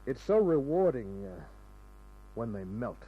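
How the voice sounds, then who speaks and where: Sardonic radio private eye, muffled recording. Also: male voice, mid-range voice, sing-song, measured pace. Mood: sardonic, wry. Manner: dry, sardonic, world-weary. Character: wisecracking hard-boiled male private detective. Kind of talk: 1940s-50s radio crime drama narration and dialogue. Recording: dull old radio recording with music.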